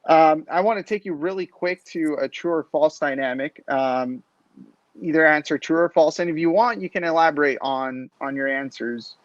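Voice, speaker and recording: A man with a slightly high voice hosts a casual sports podcast interview. The sound is dry and a little dull and lo-fi. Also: moderate pace, no echo.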